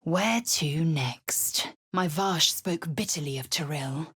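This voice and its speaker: A woman's voice: deep voice, slow, fairly bright tone, slightly rough, full character voice. An actress voicing a proud, stern githyanki warrior woman from a video game, talking in a clipped, commanding, formal and scornful way. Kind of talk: video game character dialogue.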